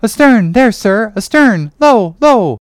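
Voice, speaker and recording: A woman voicing a crazed, pitiful young ship's boy in a dramatic audiobook, excitable, chanting and pleading. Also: on the high side, slow, dry recording, studio quality. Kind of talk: dramatic audiobook character reading.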